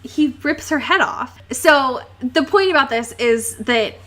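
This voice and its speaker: A woman's voice: high voice, lively intonation, unhurried, a bit bright. A young adult woman co-hosting a podcast, chatting informally and recapping a story with plenty of swearing and filler "like"s. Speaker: podcast co-host. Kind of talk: casual chat, recapping a novel.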